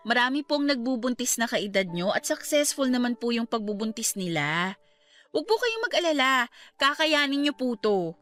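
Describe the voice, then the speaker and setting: An eager, supportive young niece in a radio drama. She speaks politely and emphatically in a high voice over a faint music bed. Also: very animated, normal speed, a bit bright. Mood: reassuring. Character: caring, excitable young niece, supportive and reassuring. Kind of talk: Tagalog radio drama acting.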